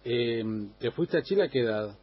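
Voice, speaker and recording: A man's voice: mid-range voice, expressive, moderate pace, lo-fi sound, slight background noise. A man, a radio interviewer asking brief questions. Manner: brief, with short affirming murmurs.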